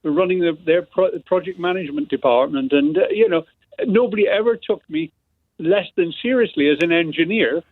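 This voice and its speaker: A man's voice: sits high, expressive, moderate pace, no echo. A middle-aged man with a West Country accent calls in to a radio phone-in and tells an anecdote in a rambling way, laughing at himself. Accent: West Country English. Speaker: caller. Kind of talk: phone-in call. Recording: tinny phone line.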